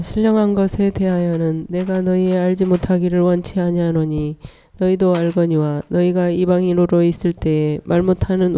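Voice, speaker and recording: A voice reading scripture in a slow, flat and even recitation. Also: mid-range voice, smooth, no echo.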